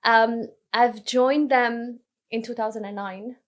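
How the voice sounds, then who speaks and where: A woman from Lebanon, a podcast guest telling her career story in a relaxed way, often restarting her sentences. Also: fairly high pitch, slow pace. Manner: relaxed, self-correcting storyteller. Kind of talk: casual interview conversation.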